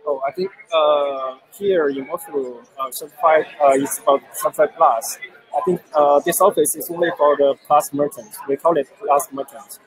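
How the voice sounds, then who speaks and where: A man from China, Chinese his first language, answering interview questions in halting English with many pauses. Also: on the high side, steady tone, rough recording. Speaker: software developer guest. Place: busy event with crowd.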